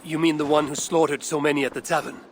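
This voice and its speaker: A man's voice: fairly high pitch, little pitch movement, fast talker, slight background noise, close to an everyday voice. A man playing a stern, steadfast Viking warrior in a dramatic video game, blunt and restrained. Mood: urgent, stern.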